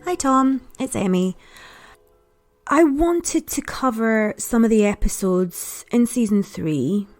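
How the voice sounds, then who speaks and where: A woman's voice: on the high side, animated, slow, a little mellow, faint music behind. An adult woman giving a casual spoken review as a podcast contributor, chatty, reflective and understated. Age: adult parent.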